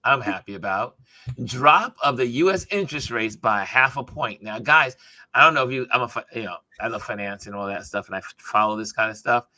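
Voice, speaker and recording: A man hosting a casual news panel discussion, speaking in a halting way and repeating phrases as he builds his point. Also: medium pitch, expressive, pushed and bright, dry recording. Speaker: talk show host.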